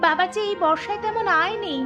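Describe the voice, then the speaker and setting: A woman voicing a caring young village daughter who coaxes and gently nags in an animated story. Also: very high-pitched, quick pace, lo-fi sound, over music. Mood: worried, chiding. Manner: earnest, coaxing.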